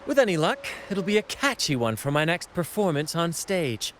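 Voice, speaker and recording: Wildly animated showman pirate captain. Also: a little high, normal speed, a little hiss or hum. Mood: amused. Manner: showy, theatrical. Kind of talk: video game character acting.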